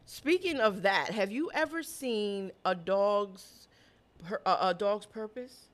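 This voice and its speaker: A woman co-hosting a podcast who tells stories in casual chat, blunt and animated, and swears freely. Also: medium pitch, slow pace. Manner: blunt, profane and animated storytelling. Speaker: podcast co-host.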